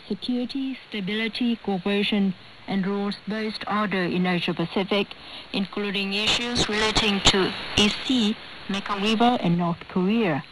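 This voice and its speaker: A woman's voice: mid-range voice, a bit bright. Woman newsreader on noisy shortwave radio. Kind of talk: scripted news reading.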